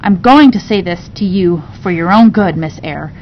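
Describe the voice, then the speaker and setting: A woman's voice: moderate pace, natural voice. A woman reading a storybook, voicing a female servant who reproaches and advises a child. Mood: kindly admonishing. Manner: admonishing. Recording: dull recording with low rumbling noise.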